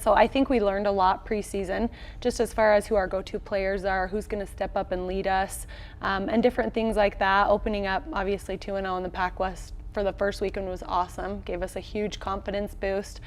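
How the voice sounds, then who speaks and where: An American woman in her thirties with a medium-pitched voice. She speaks as a coach being interviewed, in an upbeat, colloquial and straightforward way. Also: little pitch movement, fairly quick. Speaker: volleyball head coach, interview guest. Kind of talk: conversational sports interview. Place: small indoor locker-room set.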